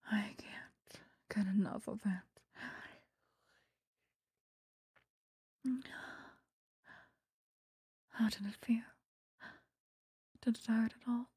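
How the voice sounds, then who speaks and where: Woman voicing possessive female vampire lover for ASMR roleplay: very breathy whisper, slow, seductive, doting, close and dry. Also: on the high side, warm tone, dry recording, exaggerated voice. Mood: tender, hungry. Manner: slow, intimate, cooing. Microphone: close microphone, dry.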